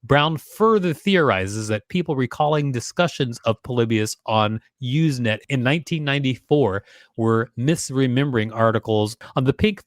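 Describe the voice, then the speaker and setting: A man co-hosting a podcast, reading an encyclopedia article aloud in a plain, even way. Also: mid-range voice, no room sound, clean studio sound.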